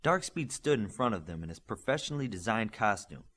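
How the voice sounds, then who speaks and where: A man's voice: on the low side, sing-song, a little fast, fairly bright tone. A man reading scripted fiction as an audiobook narrator.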